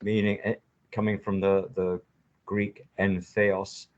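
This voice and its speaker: A man's voice: low-pitched, level tone, slow. A man hosting a conversational interview, giving emphatic, impassioned opinions. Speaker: podcast host. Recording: dull lo-fi audio.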